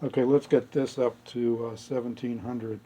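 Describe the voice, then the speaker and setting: A man's voice: mid-range voice, steady tone, moderate pace, mellow, husky. A man giving an unscripted hands-on technical walkthrough, thinking aloud in a matter-of-fact way. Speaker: presenter of a radio repair demonstration.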